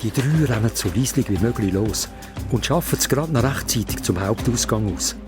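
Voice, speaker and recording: A man's voice: mid-range voice, expressive, fairly quick, lightly acted. A man with a Swiss German accent narrating a children's audio drama in a dramatic storytelling manner. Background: music.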